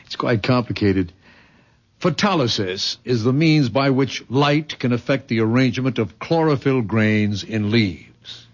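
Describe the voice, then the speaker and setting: A man playing an earnest, educated young man in a vintage radio drama, speaking a little haltingly. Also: mid-range voice, close to an everyday voice. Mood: explanatory. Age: young adult. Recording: dull vintage radio recording.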